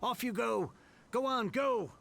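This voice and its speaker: A gravelly, grouchy old book collector. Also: male voice, very high-pitched, unhurried, fairly bright tone, a little hiss or hum, heavily acted. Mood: lamenting. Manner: gruff, dramatic, self-pitying. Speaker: game character.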